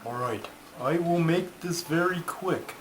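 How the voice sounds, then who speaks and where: Guy doing a pompous turtle puppet storyteller, higher pitched, super slow n formal, touchy, noisy homemade recording. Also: natural voice. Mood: stern. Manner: ponderous, formal, easily put out. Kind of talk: comic scripted storytelling. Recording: noisy amateur home recording.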